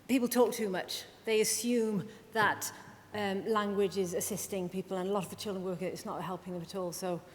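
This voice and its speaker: A woman speech and language therapist giving a chatty conference presentation, full of quick asides and self-deprecating remarks. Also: fairly even intonation. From Wales. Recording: reverberant hall with faint rumble.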